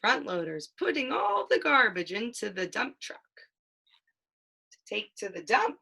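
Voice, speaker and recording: A mother reading a children's picture book aloud, with sing-song storytelling and drawn-out sound words. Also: medium pitch, very animated, creaky, pushed and bright, breathy edge. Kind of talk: children's read-aloud with questions.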